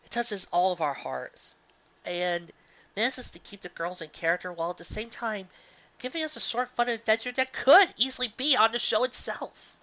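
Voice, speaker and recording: A live reader of fan fiction giving earnest commentary to an audience, halting and emphatic, with sentimental delivery and dramatic pauses, on a dull lo-fi home recording. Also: mid-range voice, pushed and bright.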